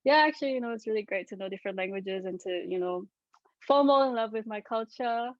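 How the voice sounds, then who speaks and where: A woman's voice: a little high, fast, dry recording. A Singapore-born woman, chatting casually as a podcast co-host. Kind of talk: casual chat. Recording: dull dry podcast audio.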